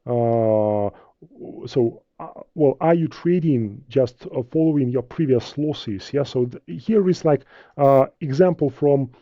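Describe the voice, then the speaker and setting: A man with a Russian accent, a native Russian speaker, teaching trading in a live webinar, halting, with many fillers and restarts. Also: medium pitch, dark tone, no room sound, highs cut off. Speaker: trading instructor. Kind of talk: live webinar talk with viewer questions.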